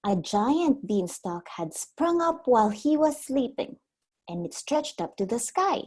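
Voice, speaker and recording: Preschool teacher lady doing storytime, kinda high soft mellow voice, very expressive, takes it slow. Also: husky. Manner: explains words simply for small children.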